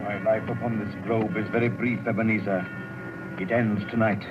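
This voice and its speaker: A grave, measured male spirit speaks of the future, played by an actor. The sound is dull vintage radio with music underneath. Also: lowish voice, little pitch movement, lightly acted. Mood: solemn. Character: solemn male ghost of Christmas present. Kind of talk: 1930s radio drama acting.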